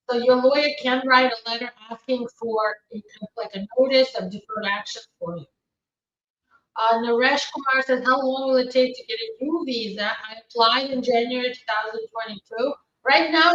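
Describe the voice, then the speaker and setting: A woman attorney, originally from Ukraine, hosting a live stream and reading viewers' questions aloud. She answers each one briskly and matter-of-factly, with frequent fillers. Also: high-pitched, fairly bright tone. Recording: roomy, distant, dull live-stream audio.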